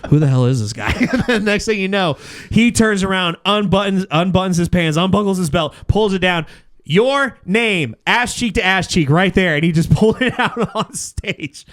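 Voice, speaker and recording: Animated, higher-pitched man telling stories. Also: normal speed. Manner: laughs through stories, casual slangy swearing, acts out dialogue. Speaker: podcast host and comedy show runner. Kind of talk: casual podcast chat, animated storytelling.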